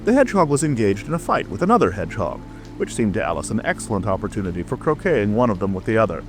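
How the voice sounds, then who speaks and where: A man's voice: lowish voice, sing-song, very fast. A man narrating a storybook as a dramatic, scripted audiobook reading. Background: music.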